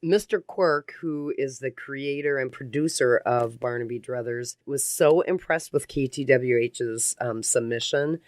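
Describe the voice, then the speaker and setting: A woman's voice: a bass voice, a little slow, few pauses, a little mellow, no room sound. A woman hosting a casual radio interview, talking in an enthusiastic, effusive way. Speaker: radio talk show host. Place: radio station studio.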